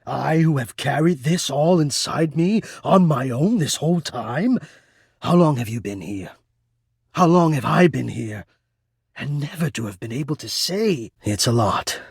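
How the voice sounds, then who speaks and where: An actor playing a shy, devoted, lovestruck male air-vent spirit in a romance video game, with breathy, formal, florid and earnest delivery. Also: on the high side, lively intonation, a little slow, no room sound, clean studio sound, exaggerated voice. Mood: overwhelmed, agitated.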